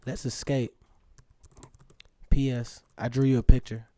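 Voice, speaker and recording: A man's voice: medium pitch, little pitch movement, unhurried, mellow, natural voice. A man playing an earnest, lovestruck seventeen-year-old boy reading his own letters aloud in a radio drama, with a poetic manner. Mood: earnest, pleading.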